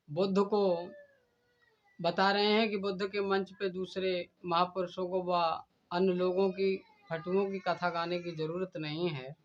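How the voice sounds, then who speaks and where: A man delivering a stern, deliberate admonishing speech in a preachy manner. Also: high-pitched, fairly bright tone. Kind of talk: admonishing speech addressed to a rival. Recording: dull, lo-fi recording.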